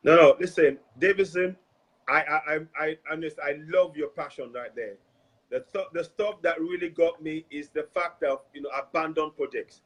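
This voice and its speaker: Emphatic man, husky voice. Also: fairly high pitch, normal speed, rough recording. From Nigeria. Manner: animated, emphatic, repeats phrases. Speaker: host. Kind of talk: passionate civic talk.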